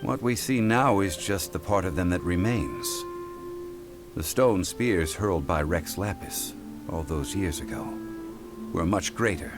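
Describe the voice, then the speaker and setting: Erudite game gentleman: very low voice, formal and measured, music behind. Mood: calm, explaining. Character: composed, erudite gentleman scholar, patient and formal. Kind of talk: video game voice acting. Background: clear game music.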